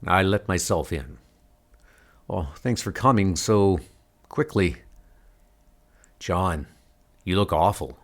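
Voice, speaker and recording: Man as Superman, calm and low. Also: slow, pauses often, exaggerated voice. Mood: concerned. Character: calm, concerned adult male superhero, Superman. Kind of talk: comic book audio drama. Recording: music under the voice. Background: music.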